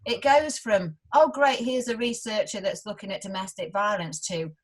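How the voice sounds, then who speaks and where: A young woman chats as a guest on an interview, her mid-range voice animated and full of laughter. She slips into other people's voices as she talks. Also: mid-range voice. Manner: animated, quick to laugh, acts out quotes. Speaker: guest researcher. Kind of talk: casual interview chat.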